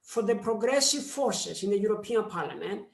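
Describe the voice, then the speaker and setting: A man with a Greek accent, a member of parliament, giving a formal seminar speech in a slow, measured way with pauses. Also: high-pitched, expressive, creaky, reverberant.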